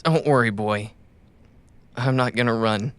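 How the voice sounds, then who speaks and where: A loyal, wounded male runner from a cyberpunk audio drama, played by a man. He speaks very slowly at an ordinary male pitch, with a tender, halting, reassuring manner. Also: clear, resonant tone, dry recording, lightly acted. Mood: weary, reassuring. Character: wounded, loyal male caravan runner gently soothing his companion.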